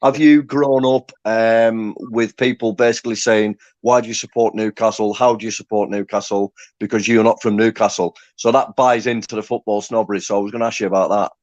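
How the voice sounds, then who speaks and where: A man's voice: lowish voice, steady tone, brisk, hardly any pauses, darkish tone, no echo, dull audio. A man from Lancaster hosting a fan forum, chatting in an enthusiastic, matey way. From Lancaster, England. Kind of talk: casual fan chat.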